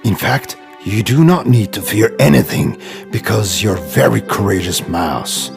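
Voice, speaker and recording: A man voicing a grateful, regal lion king in a children's storybook, with a deep, gravelly voice and a solemn delivery. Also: animated, unhurried, soft background music.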